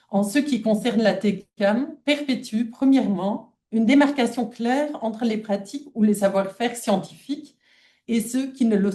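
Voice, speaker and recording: Mellow non-native French-speaking woman lecturer. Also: on the high side. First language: not French (non-native speaker). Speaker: academic guest lecturer. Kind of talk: lecture read aloud from a prepared text.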